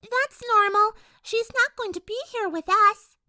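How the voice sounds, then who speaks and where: A woman's voice: very high voice, measured pace, pushed and bright, no echo, studio quality, voice pushed up, exaggerated voice. A woman voicing a perky, earnest young female cartoon pony in a fan fiction reading, speaking emphatically. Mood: relieved.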